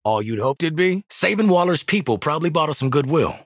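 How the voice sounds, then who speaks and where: An American man voicing a cocky, gruff, sardonic assassin in a video game, with streetwise slang and dry wisecracks. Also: a little high, expressive, a little fast, no room sound. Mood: confident banter. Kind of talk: isolated game combat barks and banter.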